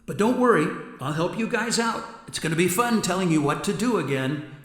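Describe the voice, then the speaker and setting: Teasing ghost, heavy echo. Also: male voice, high voice, lively intonation, fairly quick, a bit bright. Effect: ghostly reverb. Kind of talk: contemporary radio drama acting. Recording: echoing, long reverberant sound.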